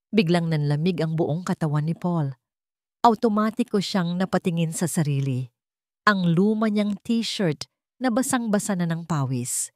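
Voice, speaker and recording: A woman's voice: on the low side, dry recording, studio quality. A woman with a Filipino accent narrating a scripted story in a dramatic storytelling style.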